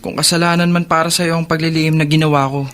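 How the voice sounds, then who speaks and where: Pleading, gentle older brother male actor, fairly high-pitched, guilt-ridden, Filipino radio drama. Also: little pitch movement, fast talker, natural voice.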